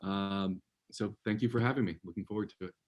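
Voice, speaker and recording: Low-voiced man introducing himself on a panel. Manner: relaxed, unhurried, with filler ums. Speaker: company CEO, panelist.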